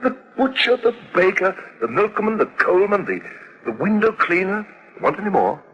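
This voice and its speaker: An actor playing a practical, emphatic, slightly impatient husband in a radio drama. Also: male voice, high voice, very animated, moderate pace, fairly bright tone, breathy edge, highs cut off, heavily acted. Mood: sarcastic. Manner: emphatic, matter-of-fact.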